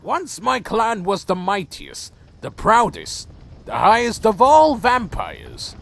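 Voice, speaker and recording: A man playing a proud, bitter ancient vampire clan leader in a dark fantasy audio drama, brooding, theatrical and full of contempt. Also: high voice, wildly swooping pitch, creaky, slight background noise, heavily acted. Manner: slow, theatrical, brooding and menacing. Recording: faint music under the voice.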